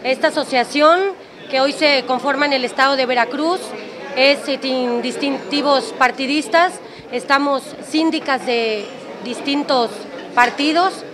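A woman with a Mexican accent speaks high-pitched at a press conference. She delivers her statement in short, separated phrases. The room around her is full of people. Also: measured pace, crowd noise. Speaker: municipal official speaking to press. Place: crowded indoor room. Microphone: press microphones on table.

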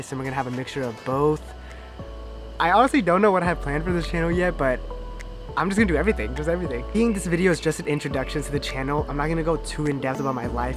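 A man, chatting casually to camera in an upbeat, slangy way as a vlogger. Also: mid-range voice. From Thailand and America. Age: 20s. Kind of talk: casual vlog chat to camera. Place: outdoor garden patio with sofa. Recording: music behind the voice. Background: background music.

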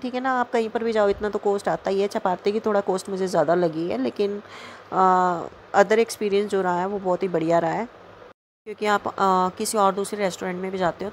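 A woman's voice: medium pitch, slight background noise. A young woman with a North Indian accent gives a casual, chatty vlog voice-over, mixing in English words and often checking in with the listener. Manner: mixes English words and phrases into speech. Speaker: vlogger.

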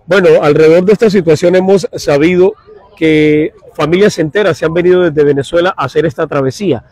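A middle-aged man reporting live for radio from a crowded outdoor dock, speaking in a formal, measured way into a handheld mic. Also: fairly high pitch, a little mellow. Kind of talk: live field report and street interviews. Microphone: handheld mic held close.

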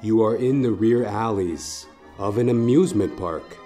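A man narrating a horror story in a suspenseful, theatrical manner, with short deliberate phrases. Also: lowish voice, mellow. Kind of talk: dramatic second-person horror story narration. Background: music.

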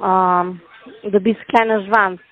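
A woman's voice: mid-range voice, steady tone, takes it slowly, narrow, tinny audio. A woman in on a scam call, insulting and combative. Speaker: call center agent.

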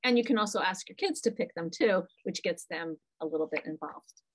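A middle-aged woman, a psychologist, answering parents' questions in a lively, chatty way on a video call from a small room. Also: lowish voice, a little fast, a little hiss or hum. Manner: talkative, animated, answers questions from the chat. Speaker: psychologist presenting a parent webinar. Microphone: computer mic on a video call.

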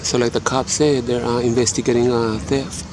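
A man's voice: mid-range voice, little pitch movement, moderate pace. A man filming outdoors at night, talking casually and hesitantly with many fillers as he narrates what he sees. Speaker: camera-filming auditor. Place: residential street at night, outdoors. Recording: loud traffic noise outdoors.

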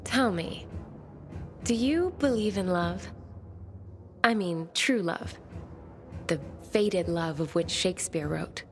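Low-pitched bookish, courteous woman. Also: animated, takes it slowly, long pauses, soft background music. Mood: warm, curious. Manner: eloquent, formal, thoughtful. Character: bookish, romantic, courteous young woman survivor. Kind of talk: video game cutscene dialogue.

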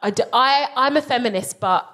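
A woman's voice: sits high, brisk, a bit bright. A British woman co-hosting a live comedy podcast, brash and teasing. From Britain. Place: live show before an audience.

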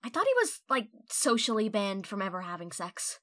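A woman's voice: mid-range voice, big pitch swings, moderate pace, fairly bright tone. A woman reading fan questions aloud in a casual style for a comic dub. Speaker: reader of fan messages.

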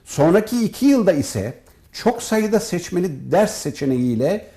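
A man with a fairly high, mellow voice speaks as an academic being interviewed. His delivery is formal and measured, laying points out in orderly, list-like phrases. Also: big pitch swings. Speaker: professor and department head, interview guest. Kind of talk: formal, prepared-sounding interview answers.

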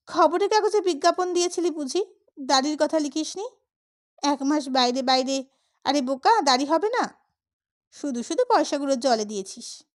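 A blustering, cranky elder brother from an audio short story, played by a woman narrator. The voice is high-pitched, with a brusque, scolding, bossy delivery. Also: animated, normal speed, long pauses. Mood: teasing scolding.

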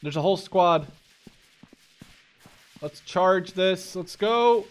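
Higher-pitched man doing casual gameplay commentary. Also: slow pace, a little hiss or hum. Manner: thinks aloud, mild swearing. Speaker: gaming video host. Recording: gunfire and clicks behind voice. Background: video game gunfire.

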